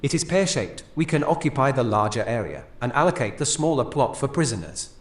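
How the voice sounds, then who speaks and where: Composed island-owning gentleman in echoey room, acted by a man. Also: mid-range voice, expressive, moderate pace, natural voice. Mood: composed, factual. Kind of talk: audio drama dialogue in English.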